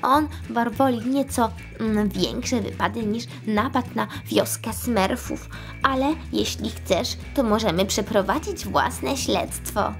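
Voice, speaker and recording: A woman's voice: on the high side, slow pace, gravelly, faint music behind. A woman voicing an eager, jumpy female Minion detective with a hesitant, stammering manner in a children's toy story. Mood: curious, helpful. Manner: hesitant, stammering, wide-eyed.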